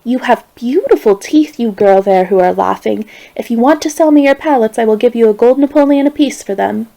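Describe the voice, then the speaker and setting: A woman voicing a showy male quack dentist who hawks his offer loudly to a crowd. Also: a little high, fairly quick, vocal fry, low-quality recording. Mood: hawking.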